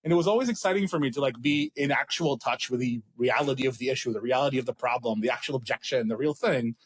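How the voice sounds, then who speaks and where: An Israeli man in his thirties tells his story in a relaxed, meandering way. He restarts his sentences often and pokes fun at himself. His pitch sits in the middle of the range for a man. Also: quick pace, slightly rough. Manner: rambling, self-deprecating, many restarts. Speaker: startup CEO guest. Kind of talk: casual interview talk.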